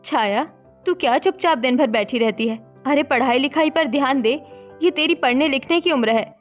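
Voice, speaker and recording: Stern, high-voiced elder sister acted by a woman. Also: normal speed, soft background music, close to an everyday voice. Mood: scolding. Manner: scolding, lecturing. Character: stern, exasperated elder sister and dutiful housewife. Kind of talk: Hindi cartoon story voice acting.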